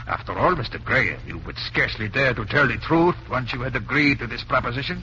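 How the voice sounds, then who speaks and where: An actor playing a calculating Nazi agent who poses as a doctor, formal, measured and coolly menacing in a vintage radio drama. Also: male voice, medium pitch, expressive, bright, forceful tone. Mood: smooth menace. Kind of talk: 1940s radio drama acting. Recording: muffled, noisy old radio recording.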